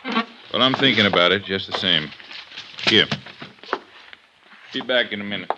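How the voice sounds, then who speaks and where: An actor playing a gruff, suspicious, hard-nosed police inspector in a 1950s radio detective drama, blunt and sardonic. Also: male voice, on the low side, measured pace, background noise, natural voice. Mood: suspicious, accusing. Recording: dull 1950s radio recording.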